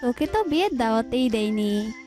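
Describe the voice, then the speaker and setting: Woman voicing a high-pitched snooty crow. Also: sing-song, fairly quick, music in the background. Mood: scornful. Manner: mocking, dismissive. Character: snooty, scornful female crow neighbour. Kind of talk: children's cartoon voice acting.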